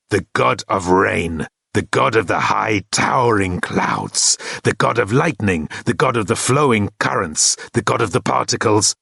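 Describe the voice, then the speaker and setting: Brief: a man voicing a towering horned thunder god, deep-voiced and solemn, measured and literal, dry close recording. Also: vocal fry, sharp tone, breathy edge, no echo, heavily acted. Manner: grave, formal declarations. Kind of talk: audiobook character voice.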